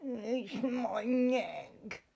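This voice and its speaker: A man voicing a sad, chilly giraffe in a children's story, drawn-out and plaintive. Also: very high-pitched, vocal fry, dark tone, husky, pitched above the performer's own voice, exaggerated voice.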